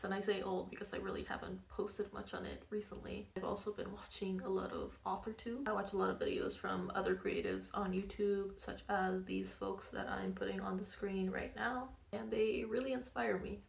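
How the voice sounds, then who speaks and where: Young woman vlogger from Venezuela; gravelly low edges, candid and soft-spoken, roomy echo. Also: level tone, a little fast, hardly any pauses. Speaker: vlogger, cartoonist. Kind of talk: casual personal vlog. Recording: echoey room.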